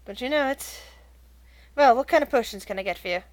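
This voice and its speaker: A woman voicing a relaxed, slightly amused silver tiefling man in a tabletop game. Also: on the high side, brisk, no echo, lightly acted. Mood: easygoing.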